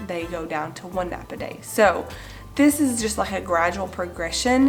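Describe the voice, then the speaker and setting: A young woman in her twenties with a cold, a little raspy and nasal, chatting to camera about her babies in a casual home vlog, gesturing as she talks. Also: fairly low pitch. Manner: chatty, gestures with hands while explaining. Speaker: mom vlogger. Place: bright living room indoors.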